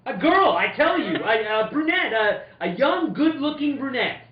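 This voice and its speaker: A man's voice: very high-pitched, big pitch swings, moderate pace, pitched above the performer's own voice. A man acting as a hard-boiled, earnest insurance investigator in an old-time radio detective play, with theatrical, slightly stumbling reading. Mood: insistent, exasperated. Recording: roomy, dull, slightly noisy distant recording.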